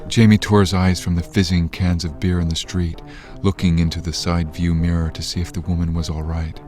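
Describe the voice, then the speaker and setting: A man delivering scripted narration as the grave storyteller of a dark audio drama. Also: low voice, flat delivery, a little fast, faint music behind, natural voice. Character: grave third-person storyteller of a dark thriller.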